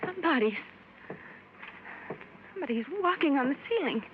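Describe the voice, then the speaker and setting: High-voiced, excitable, frightened young woman. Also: normal speed. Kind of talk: 1950s radio drama acting. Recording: muffled noisy old radio recording.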